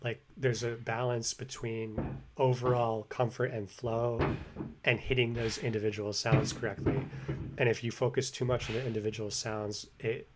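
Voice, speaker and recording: A man's voice: mid-range voice, level tone, moderate pace, background noise. An American man talking casually about language learning, rambling with lots of fillers and restarts. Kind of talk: casual explanatory monologue. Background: construction hammering and sawing overhead.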